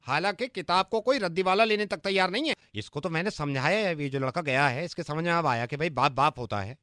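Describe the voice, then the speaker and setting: A man's voice: on the high side, animated, quick pace, a bit bright, no echo, studio quality. A man making a prank phone call in a mock-earnest, argumentative and rambling manner. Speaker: prank caller.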